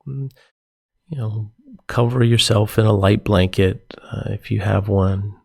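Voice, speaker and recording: Slow, creaky-voiced man guiding meditation. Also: on the low side, level tone. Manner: gentle, unhurried, soothing instruction with pauses. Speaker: yoga nidra meditation teacher. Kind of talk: guided meditation.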